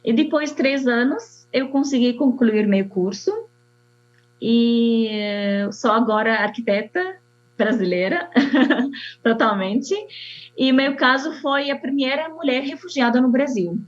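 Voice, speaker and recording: A young woman from Syria with an Arabic accent, an architect telling her story slowly and haltingly, with many fillers. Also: fairly high pitch. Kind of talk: personal testimony. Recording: dull lo-fi sound.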